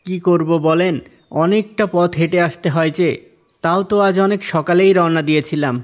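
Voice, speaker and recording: A man voicing a poor, patient, devout and generous husband in a moral-tale cartoon, speaking softly and humbly. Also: sits high, fairly even intonation, normal speed, natural voice. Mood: weary, worried.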